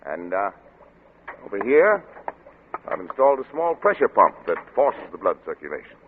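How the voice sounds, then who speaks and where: An actor playing an arrogant, obsessed middle-aged scientist, brisk and lecturing, in old radio drama style. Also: male voice, on the low side, very animated. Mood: eager, lecturing. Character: obsessed, arrogant male scientist, cold and impatient. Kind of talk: 1940s radio drama acting. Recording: narrow-band, noisy old radio recording.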